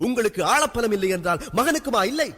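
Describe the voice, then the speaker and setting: A man's voice: mid-range voice, big pitch swings, moderate pace. A man with a Sri Lankan Tamil accent playing a proud, hot-headed young prince in a radio drama, declaiming with bitter, accusing indignation. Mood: indignant, scornful. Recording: long hall-like reverb.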